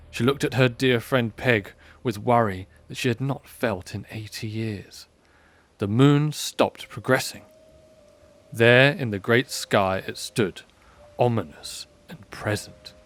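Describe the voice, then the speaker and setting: A man reading scripted story narration as the narrator of an audio drama. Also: medium pitch.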